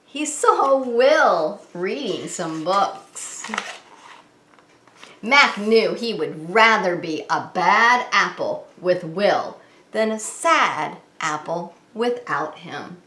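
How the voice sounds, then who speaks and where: Female preschool story-time host, expressive and sing-song, addressing little kids directly, echoing room sound. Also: medium pitch, extremely slow, slight background noise. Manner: animated, sing-song, asks and answers questions to young children. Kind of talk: children's read-aloud story time. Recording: roomy, echoing indoor sound.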